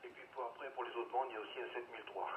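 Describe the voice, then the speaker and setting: A man talking casually and haltingly as an amateur radio operator over a two-way radio. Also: medium pitch, steady tone, lo-fi sound. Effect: two-way radio transmission. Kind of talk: casual radio group exchange. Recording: noisy band-limited radio with echo.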